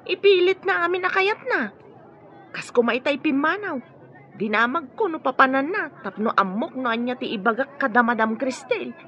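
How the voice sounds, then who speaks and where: A flustered, deferential maid in a radio drama, with an Ilocano accent. Her high voice rises and falls expressively, plaintive and hesitant, on dull audio with some noise. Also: lively intonation, moderate pace, a bit bright, some chatter behind. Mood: fretful. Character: flustered, deferential housemaid complaining of her spoiled young mistress. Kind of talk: Filipino radio drama acting.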